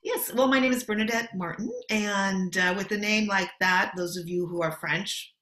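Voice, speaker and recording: Bright-voiced American woman, roomy sound. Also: medium pitch, moderate pace. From Los Angeles, USA. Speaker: guest. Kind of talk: interview answers, casual. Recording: roomy echo.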